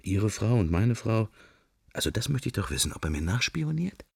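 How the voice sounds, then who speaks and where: A man's voice: fairly low pitch, sing-song, normal speed, creaky, husky, dry recording, clean studio sound, exaggerated voice. An actor playing a man's wandering, wry and melancholy inner thoughts in fragments, for a radio drama. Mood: wry musing. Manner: murmured, fragmentary, introspective. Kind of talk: radio drama interior monologue.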